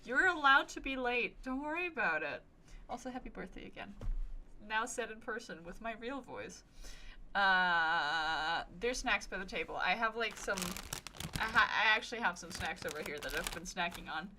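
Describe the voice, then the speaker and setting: Bright-voiced woman streaming a game. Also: medium pitch, expressive, moderate pace, slight background noise, rough recording. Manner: playful, rambling, reactive. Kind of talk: casual live stream commentary. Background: video game music.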